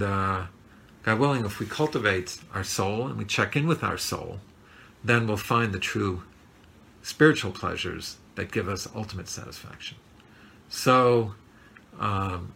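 A man's voice: fairly low pitch, creaky, sharp tone, husky. A man giving an earnest, reflective Torah class, with many fillers and self-corrections.

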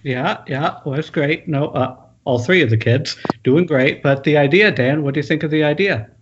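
A man playing a frantic, high-pitched, coked-up novelist. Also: moderate pace, lightly acted. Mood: eager, jittery. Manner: frantic, rambling, repetitive, punchy exclamations, profane. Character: manic, coked-up, nervy 1980s horror novelist. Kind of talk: performed screenplay table read. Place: at home on a group internet call. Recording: muffled, noisy internet call with clicks.

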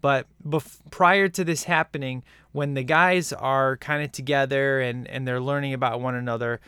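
A man's voice: mid-range voice, steady tone, normal speed, bright, forceful tone, smooth, dry recording, clean studio sound. A man co-hosting a casual movie-review chat, rambling and enthusiastic with lots of fillers. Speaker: podcast co-host reviewing a film.

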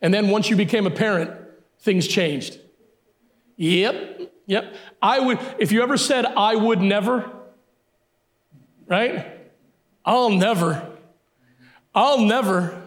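Emphatic high-voiced male pastor. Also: slow, long pauses. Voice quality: sometimes hoarse from yelling. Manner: emphatic preaching with repeated phrases. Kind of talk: sermon.

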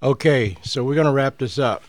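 A man's voice: mid-range voice, a little fast, darkish tone. A man hosting an interview, moderating a discussion with short acknowledgements and prompts to guests. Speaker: interview host.

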